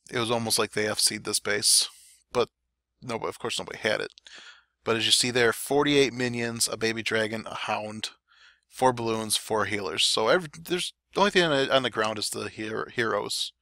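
A man giving relaxed, chatty play-by-play commentary over game replays, his throat a little dry. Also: lowish voice, no echo. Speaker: clan war recap commentator.

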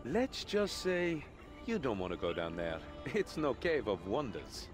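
A man's voice: medium pitch, wildly swooping pitch, measured pace, music in the background. Man voicing a cocky, swooping-voiced rogue. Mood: wry. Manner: wry, teasing. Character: sardonic, cocky male rogue adventurer. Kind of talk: video game voice acting.

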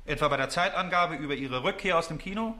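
A man's voice: on the high side, fast talker, pushed and bright. An actor playing an insistent, sceptical prosecuting barrister in a courtroom radio play, formal and pointed in his questioning. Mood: sceptical, pressing.

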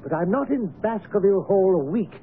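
An actor playing a brisk, imperious gentleman heir in a radio drama, blunt and commanding. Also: high-pitched, expressive. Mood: stern, suspicious. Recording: tinny, noisy old radio broadcast.

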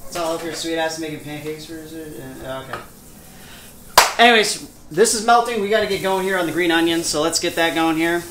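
A man's voice: on the high side, a little fast. A man livestreaming from his home kitchen, talking casually and informally with some swearing as he hosts and reads out viewer comments. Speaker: host of a cooking livestream. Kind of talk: casual livestream chat while cooking. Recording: roomy kitchen, clear background noise.

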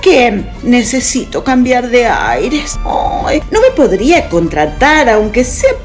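An actress playing a chatty, effusive housemaid in a radio comedy, with an Argentine accent and an exclamatory delivery. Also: female voice, fairly high pitch, big pitch swings, slow, soft background music. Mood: pleading. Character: chatty, giddy housemaid, gleeful and cheekily outspoken.

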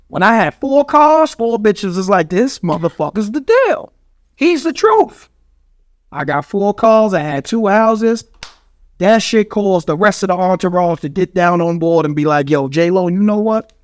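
A man's voice: high-pitched, dry recording, clean studio sound. A middle-aged man with a New York accent telling stories casually on a podcast, emphatic and full of street slang and swearing. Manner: emphatic, street slang, heavy swearing, frequent "you know" tags. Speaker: podcast guest. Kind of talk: casual storytelling in a podcast interview. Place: small studio with foam-padded wall. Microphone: studio microphone on boom arm.

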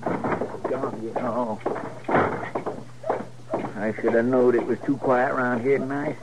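An actor playing a folksy, fussy frontier deputy with a drawling, deferential rural Western accent in 1950s radio drama. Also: male voice, medium pitch, fairly even intonation, takes it slowly. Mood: earnest. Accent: rural Western American. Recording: noisy vintage radio recording with clicks.

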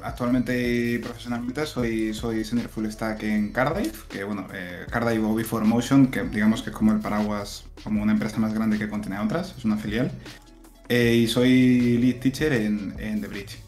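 A man's voice: fairly low pitch, normal speed. A man in his thirties from southern Spain, chatting casually as a guest, laughing lightly and restarting his sentences. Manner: relaxed, chuckling, many fillers and restarts. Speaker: guest software engineer and teacher. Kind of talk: casual interview chat. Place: room at home. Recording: echoey room, faint music.

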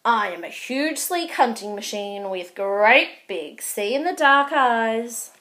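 A woman voicing an indignant little male baby owl in a storybook, with loud, slow, drawn-out protests. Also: on the high side, animated, exaggerated voice.

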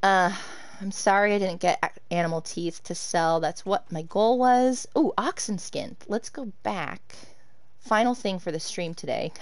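A woman hosts a live game stream in a relaxed, talkative way and responds to viewers as their messages come in. Her voice sits fairly low, and she breaks into laughter often. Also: creaky. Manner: chatty, laughs often, answers chat. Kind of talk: casual live-stream chat while gaming.

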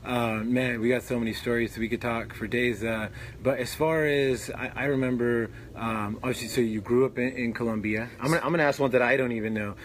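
A man's voice: medium pitch, steady tone, a little fast, hardly any pauses, slight background noise. A young man hosting an interview outdoors, talking in a loose, chatty way with fillers. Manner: chatty, laughs along, fillers. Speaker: show host. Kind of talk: casual interview chat. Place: outdoors under a tree.

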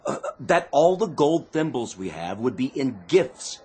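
Actor as a flustered, very animated floor manager. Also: male voice, mid-range voice, a little slow, breathy edge. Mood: flustered, defensive. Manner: fussy, exasperated. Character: flustered, put-upon, deferential department store floor manager. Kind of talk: radio play acting.

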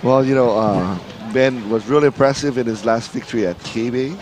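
A man giving relaxed, chatty play-by-play commentary on a bowling match. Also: mid-range voice, moderate pace. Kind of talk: live sports commentary. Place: bowling center.

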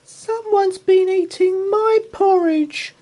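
A man voicing a tiny, squeaky, tearful baby bear boy in a storybook read-aloud. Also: slow, mellow. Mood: squeaky, upset.

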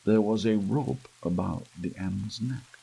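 Deep, gravelly man narrating a gothic tale. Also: moderate pace, rough recording. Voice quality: gravelly, husky. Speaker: audiobook narrator. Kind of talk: scripted gothic story narration, ASMR. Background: soft rain.

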